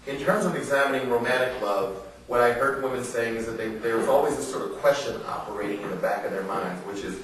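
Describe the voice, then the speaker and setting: American male author at a live reading, earnest and emphatic, lowish voice, very echoey room, distant mic. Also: fairly bright tone. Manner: earnest, emphatic, rhetorical questions. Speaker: author giving a book reading. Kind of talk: public reading mixed with informal talk to an audience. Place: indoor literary salon before an audience. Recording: roomy echoing live venue, distant mic, faint noise.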